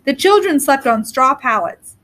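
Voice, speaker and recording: A woman with a distinctly high voice reads a story aloud. Her narration is scripted, with deliberate and expressive phrasing suited to a storybook. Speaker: story reader.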